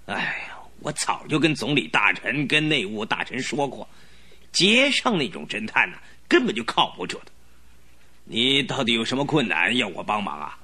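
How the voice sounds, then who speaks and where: A pompous old duke. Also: male voice, medium pitch, sing-song, normal speed, sharp tone, slightly rough, full character voice. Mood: indignant. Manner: pompous, blustering, scolding. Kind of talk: radio drama acting.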